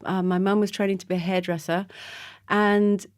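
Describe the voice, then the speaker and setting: A woman's voice: mid-range voice, flat delivery, moderate pace, no room sound, studio quality. A woman in her fifties, a TV presenter, telling candid family stories as a podcast guest. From Trinidad, Scottish parents. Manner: warm storytelling, candid. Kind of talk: casual interview chat.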